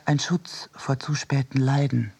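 Male essay reader for radio: mid-pitched, rough and breathy, deliberate delivery. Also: steady tone, dark tone. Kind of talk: scripted literary essay reading.

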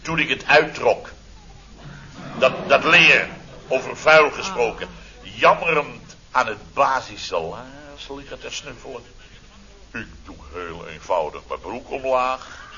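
An actor playing a self-important, aggrieved building contractor boss in a radio comedy, telling stories theatrically with exclamations and sarcasm. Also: male voice, medium pitch, lively intonation, measured pace, bright, forceful tone. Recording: dull, band-limited old radio recording.

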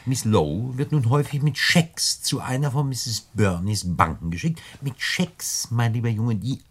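An actor in a German radio play voicing a genteel, scheming crook who talks in ornate, condescending phrases with deliberate hesitations. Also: male voice, medium pitch, husky. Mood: smugly explaining. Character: genteel, wordy, scheming male crook, smugly condescending mastermind.

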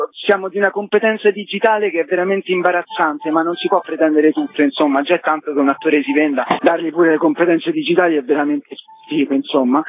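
Male theatre guest, higher-pitched, ironic and conversational, heard over a thin telephone-like connection. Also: dry recording. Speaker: theatre actor and playwright interviewed as guest. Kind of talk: conversational radio interview. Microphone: phone call to a radio show. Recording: phone-like narrow-band line.

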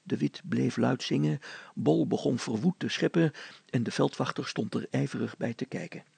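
A man reads story narration in a mid-pitched voice. It is heavily creaky and rough, and recorded dry. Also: normal speed, a little mellow, dry recording.

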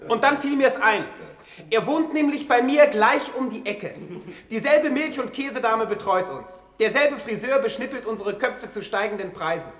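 Sardonic showy reporter in a radio play, high pitched, roomy echo. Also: male voice, expressive, normal speed, a bit bright. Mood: showy, triumphant. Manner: wry, theatrical. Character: sardonic, showy male newspaper journalist. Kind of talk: German radio play acting.

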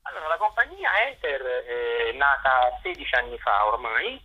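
A man giving a radio interview as a theatre director and actor, articulate and discursive, pausing to search for words. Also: mid-range voice, very animated, breathy edge, dull audio. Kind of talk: radio interview, explaining and promoting work.

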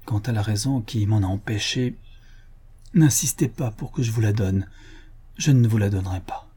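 A man's voice: fairly low pitch, moderate pace, mellow, breathy edge. A man reading the part of a guilt-ridden young man who speaks in a muffled, harsh, curt way. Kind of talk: audiobook character reading.

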